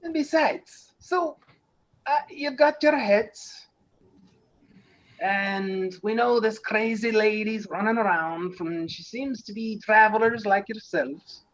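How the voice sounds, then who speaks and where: A man's voice: sits high, takes it slowly, fairly bright tone, slight background noise, low-quality recording. Man voicing a folksy, shrewd trader. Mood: musing, sly. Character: folksy, shrewd male trader haggling with adventurers.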